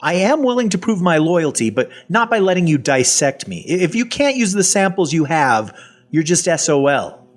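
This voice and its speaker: A man's voice: a little high, very animated, moderate pace. An actor playing a wry, cynical half-zombie man in an audio fiction drama, telling his own story in a sardonic, colloquial manner. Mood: defensive, sardonic. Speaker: voice actor and narrator. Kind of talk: first-person audio fiction narration and dialogue.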